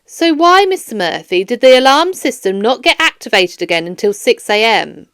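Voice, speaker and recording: A woman's voice: fairly high pitch, very animated, fairly bright tone, clean tone, dry recording, clean studio sound. A woman voicing a firm, formal police detective questioning a suspect in a children's audiobook. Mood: insistent. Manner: formal, measured, stern. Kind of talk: children's audiobook character dialogue.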